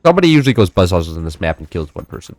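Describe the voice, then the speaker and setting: A man's voice: sing-song, very fast, darkish tone. Rambling teen guy chatting while gaming. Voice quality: slightly high-pitched for a man. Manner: rambling, many fillers and restarts. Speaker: gameplay streamer. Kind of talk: casual chat while playing.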